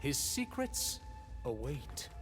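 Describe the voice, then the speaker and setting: An actor playing a haughty, imperious self-proclaimed heir in a video game, with formal, theatrical diction. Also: male voice, mid-range voice, sing-song, slow pace. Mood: grandiose. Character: pompous, imperious male leader claiming to be a revered heir. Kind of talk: dramatic video game acting. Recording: game music behind the voice.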